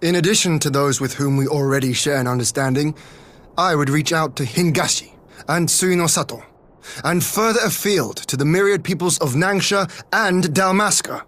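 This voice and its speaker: A man playing an assured, idealistic young lord in a fantasy game, speaking in formal, measured, eloquent phrases. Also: on the high side, fairly bright tone, natural voice. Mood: resolute. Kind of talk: scripted fantasy game acting.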